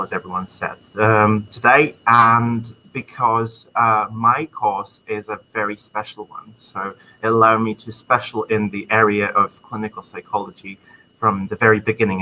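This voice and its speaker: A young man with a Czech accent talks as a student guest in a casual interview. His voice is bright and forceful, and his speech is friendly and enthusiastic and full of ums. A faint hiss sits under the recording. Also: on the low side, low-quality recording. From Czech Republic. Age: young adult. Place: university computer lab.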